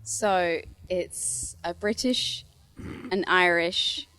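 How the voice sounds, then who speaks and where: A woman's voice: on the low side, extremely slow, bright, forceful tone, smooth, no room sound. A woman with an Australian accent asking a question from the audience, hesitant and shy. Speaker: audience member.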